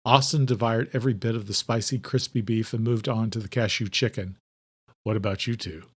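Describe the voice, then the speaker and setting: A man's voice: mid-range voice, fairly even intonation, a little fast, husky. Narrator: man, mid-range voice, dramatic delivery for thriller fiction. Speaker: audiobook narrator.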